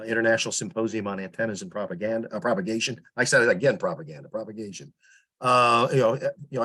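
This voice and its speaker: A man giving an informal report on a teleconference as a public relations volunteer, explaining things in a halting, meandering way. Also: mid-range voice, fairly bright tone, rough recording. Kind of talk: informal spoken report in online meeting. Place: teleconference call.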